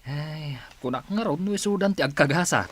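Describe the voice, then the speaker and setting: A man's voice: fairly high pitch, expressive, brisk. A man playing a loyal, teasing young cousin and confidant in a radio drama, with a Filipino accent. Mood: sympathetic.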